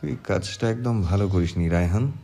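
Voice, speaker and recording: A man playing a hot-headed, vengeful young man, forceful and accusing, in an audio story. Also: low voice, a little fast, vocal fry. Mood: reproachful.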